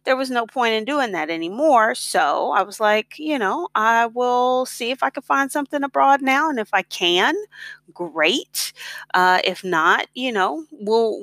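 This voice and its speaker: A woman's voice: mid-range voice, a bit bright, no room sound. A middle-aged American woman telling her own story in a casual, reflective, conversational way. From United States. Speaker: blogger on expat life and personal finance.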